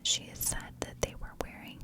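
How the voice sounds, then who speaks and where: A woman telling a story as whispered ASMR narration, hushed and in short phrases. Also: moderate pace. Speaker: ASMR true crime storyteller, channel host.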